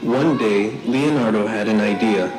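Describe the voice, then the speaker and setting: A woman narrating a children's puppet-show story in deliberate storybook phrasing. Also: rumbling low. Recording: echoey room with noisy crowd.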